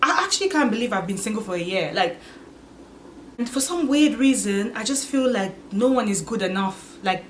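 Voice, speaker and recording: A woman in her twenties talking candidly to her channel, musing aloud and filling pauses with "like" and "you get it?". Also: mid-range voice, lively intonation, a little hiss or hum. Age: 20s. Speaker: vlogger. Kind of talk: casual confessional vlog.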